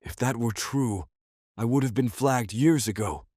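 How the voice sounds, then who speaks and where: Hushed wary young man, mid pitch, dry, distant, as the narrator plays him. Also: unhurried, no room sound, lightly acted. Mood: guarded. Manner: hushed, guarded. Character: wary young man under house arrest.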